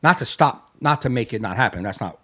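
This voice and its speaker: A man's voice: medium pitch, animated, brisk, sharp tone. A man in his late forties, a podcast host, talking in a rambling, profane, reflective way. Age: 40s. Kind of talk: casual chat.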